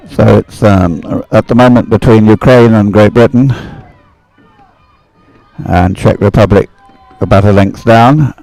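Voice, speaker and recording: Low-pitched man, creaky live race commentator. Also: darkish tone, no room sound, rough recording. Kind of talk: live sports commentary, unscripted. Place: outdoors beside the water at a regatta.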